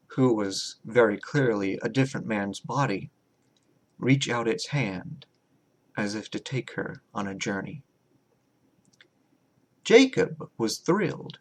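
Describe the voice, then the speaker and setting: A man reading his own horror short story as a scripted, dramatic narration, deliberate and measured, with pauses. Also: lowish voice.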